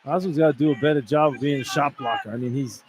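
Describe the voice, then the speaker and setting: A man's voice: medium pitch, fast. A man giving conversational radio color commentary on basketball, blunt and opinionated in his delivery. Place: courtside in a basketball arena. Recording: clear arena noise behind broadcast.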